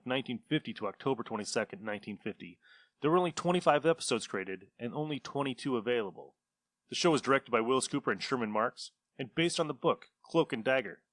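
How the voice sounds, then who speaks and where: A man's voice: medium pitch, bright, forceful tone, no echo. A man hosting a podcast, introducing a show informally and speaking directly to listeners. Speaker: podcast host.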